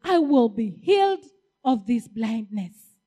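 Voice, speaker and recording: A woman voicing a blind male beggar who is eager and desperate, pleading and calling out loudly. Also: a little high, very animated, takes it slowly, long reverb tail, voice pushed down, exaggerated voice.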